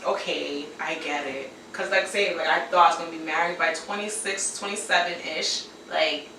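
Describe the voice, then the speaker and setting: A woman in her twenties co-hosting a faith podcast, chatting casually in an animated, slangy way and laughing easily. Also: sits low, normal speed, echoey room, far from the mic, a little hiss or hum, rough recording. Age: 20s. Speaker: podcast co-host. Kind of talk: casual chat.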